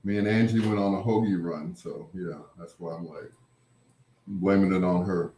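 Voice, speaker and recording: A man chatting casually with live viewers as a livestream host, rambling and repeating phrases, with drawn-out greetings. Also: low voice, steady tone, mellow, a little hiss or hum. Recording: roomy, echoing sound.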